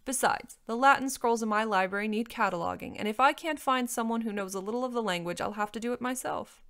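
A woman voicing a self-possessed dragon in a storybook, measured, dry and matter-of-fact. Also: fairly high pitch, fairly bright tone, smooth, pitched above the performer's own voice. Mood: dry, decisive.

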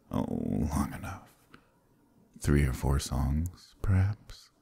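Brief: man playing a flirtatious demon prince, very deep slow murmur, husky breathy close-mic half-whisper, teasing and commanding. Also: warm tone, exaggerated voice. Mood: seductive. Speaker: voice actor in audio roleplay. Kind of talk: ASMR roleplay, close intimate murmur.

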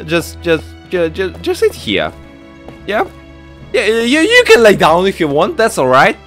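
A man hosting a gaming video with excited, exclamatory commentary, stammering and peppering his talk with slang. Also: high-pitched, measured pace, soft background music.

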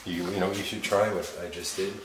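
A man's voice: low-pitched, fairly even intonation, fast. A man exploring an abandoned house who talks casually and keenly urges the others to try things. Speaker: fellow explorer. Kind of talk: casual chat. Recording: distant, echoey, very noisy.

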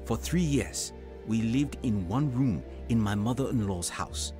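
An earnest male African evangelist of almost forty in a radio drama. His voice sits on the low side, with music under it. He speaks in a measured, confessional way, like someone unsure of himself but sincere. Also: animated, mellow. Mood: reflective. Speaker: narrator and lead character in an audio drama. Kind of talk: dramatised first-person testimony, audio drama acting.

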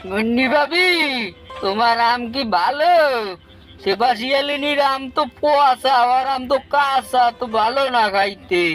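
A woman's voice: high-pitched, a little slow, a bit bright, voice pushed down. A woman voicing a female jackal customer who asks about the quality of the mangoes, in a cartoon. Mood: doubtful. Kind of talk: cartoon voice acting. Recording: light background music.

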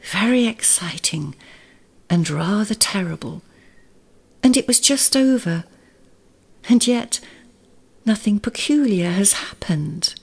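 A woman doing Mole, a gentle dreamy male mole. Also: medium pitch, husky, exaggerated voice.

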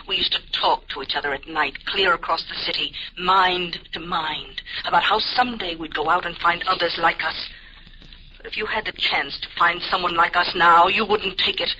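A woman's voice: fairly low pitch, bright, forceful tone. Earnest female telepath, noisy old radio. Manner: intense, dramatic. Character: earnest, pleading female telepath, hurt but loving. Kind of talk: old-time radio drama acting. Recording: noisy, dull vintage radio recording.

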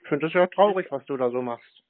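A man's voice: a little high, fast talker, no room sound. Male scam-baiter, unruffled and dryly needling, casual delivery, telephone-band audio. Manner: unfazed, dryly provoking, nonchalant. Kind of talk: casual phone conversation. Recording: dry, narrow phone-like sound.

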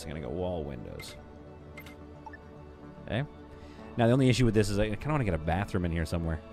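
A Canadian man in his thirties, streaming a game from a home green-screen setup, joking with chat and talking on a boom mic. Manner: playful, jokey, lively, swings between loud bursts and quiet asides. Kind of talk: casual livestream chat while building in a game. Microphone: studio mic on a boom arm.

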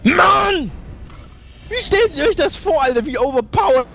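A young man with a high, very lively voice gets worked up as he plays a game, now muttering, now yelling and swearing. Game music plays clearly beneath him. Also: unhurried. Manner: excitable, swings from mumbling to yelling, swears. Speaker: let's play host. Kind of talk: casual co-op gaming chat.

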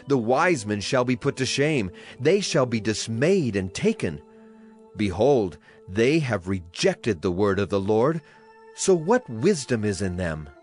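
A man acting a solemn prophet who speaks for a grieving, wrathful God in a dramatized scripture reading, declamatory in delivery. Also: fairly low pitch. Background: music behind the voice.

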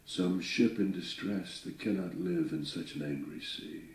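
A man's voice: sits low, unhurried, reverberant, distant mic, low-quality recording. A man reading the part of a proud sea skipper and protective father in a poem, declaiming and reassuring. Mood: confident, reassuring.

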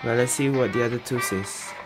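A man's voice: medium pitch, a little fast, low-quality recording. A man giving casual let's play commentary as a gaming streamer. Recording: game music behind the voice.

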